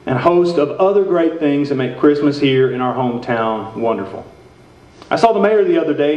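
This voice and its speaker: Man playing folksy radio announcer, high-pitched, distant hall recording, staged old-time show. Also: a little mellow, close to an everyday voice. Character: folksy, sincere small-town radio show host. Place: indoor theater stage. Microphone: stand mic, heard through far camera. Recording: echoey stage hall, distant muffled audio.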